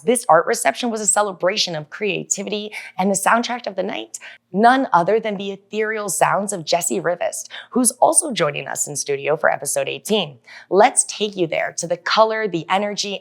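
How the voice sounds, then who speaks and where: A woman's voice: medium pitch, animated, moderate pace, vocal fry. A woman hosting a show and interviewing in an effusive, informal, upbeat way. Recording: music under the voice.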